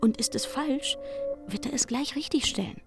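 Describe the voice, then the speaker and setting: A woman's voice: high voice, moderate pace, gravelly, music in the background. An actress playing an aggrieved young chambermaid in a literary radio play, insistent and indignant as she pours out her complaints.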